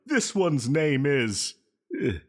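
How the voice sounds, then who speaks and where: A man's voice: a little high, sing-song, slow, exaggerated voice. A man playing an obsessive, flirtatious supervillain in an audio roleplay, theatrical and mock-polite, needling the listener with playful menace. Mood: gleeful menace.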